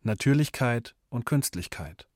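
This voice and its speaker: A man's voice: medium pitch, expressive, a little slow, dry recording, studio quality. A man reading short scripted announcements of names and headings as an announcer.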